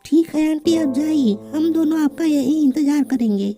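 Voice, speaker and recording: A woman plays a little young bird in a children's cartoon. The voice is extremely high, childlike and excitable, quick to take fright, with loud music playing behind it. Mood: earnest, worried. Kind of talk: Hindi children's cartoon voice acting.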